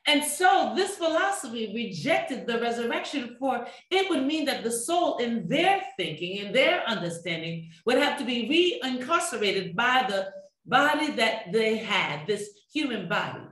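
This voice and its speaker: Woman pastor preaching with lively pitch. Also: a little slow. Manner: expressive preaching with wide hand gestures, rhythmic repetition. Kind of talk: sermon. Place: small room with a table, indoors. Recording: echoey room.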